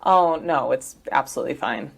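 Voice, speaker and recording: A woman's voice: very deep voice, moderate pace, vocal fry, slightly rough, heavily acted. An actress playing a wry, uneasy woman academic in an audio drama, speaking in a hesitant, understated way. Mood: polite, hesitant.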